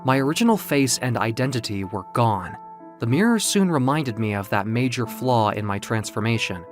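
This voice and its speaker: A man with a mid-pitched voice narrates a dramatic first-person story. His delivery is theatrical and emotive, rising and falling widely, with music underneath. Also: animated, normal speed.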